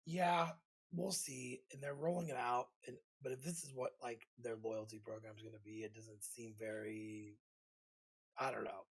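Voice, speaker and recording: A man's voice: medium pitch, level tone, normal speed, vocal fry, breathy edge, low-quality recording. A man co-hosting a podcast, chatting informally and giving his opinions on games. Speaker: podcast co-host. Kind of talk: casual chat about games.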